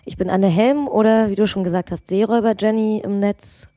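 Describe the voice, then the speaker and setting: A woman's voice: medium pitch, steady tone, a little fast, mellow, no echo. A woman from Germany, a local politician, talking on a podcast in a thoughtful way with many hesitations. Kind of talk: casual podcast conversation.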